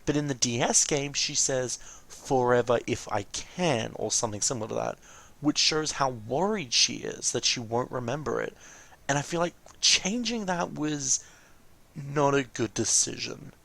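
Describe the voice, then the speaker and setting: A man giving casual, rambling game commentary as a let's play host, informal and often correcting himself mid-sentence, with a creaky voice. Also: medium pitch, normal speed, fairly bright tone, poor audio.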